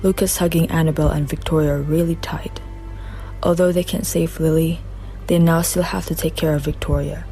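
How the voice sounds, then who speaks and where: A woman's voice: sits low, fairly even intonation, normal speed, mellow. A woman reading the narration of a student radio drama carefully, with some slips. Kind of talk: read-aloud narration. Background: music.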